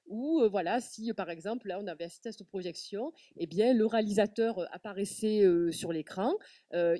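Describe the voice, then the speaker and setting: A woman on a panel, speaking animatedly but halting often with fillers and restarts. Her voice is mellow and soft-edged, and it was recorded dry from a mic set back from her. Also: medium pitch, no echo, recorded from a distance. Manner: many fillers and repeated words, animated. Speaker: festival representative on a panel. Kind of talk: panel talk.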